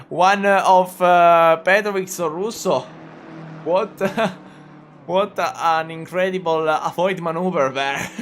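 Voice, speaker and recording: Man, Italian accent, slow halting race commentary, noisy background. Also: a little high, a bit bright. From Italy. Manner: halting, full of "uh" fillers and restarts; chatty, laughs often. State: injured shoulder. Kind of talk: live sim racing commentary.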